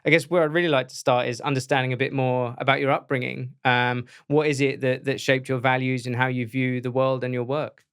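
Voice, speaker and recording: A man hosting a podcast, reading a scripted intro in a measured, earnest way before interviewing his guest. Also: medium pitch, flat delivery, a bit bright, clear, resonant tone. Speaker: podcast host.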